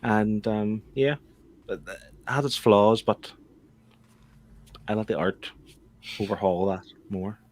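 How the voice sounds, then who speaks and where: A man's voice: fairly low pitch, slow, pauses often. A man on a book club panel giving a casual review, tentative and thinking aloud as he goes.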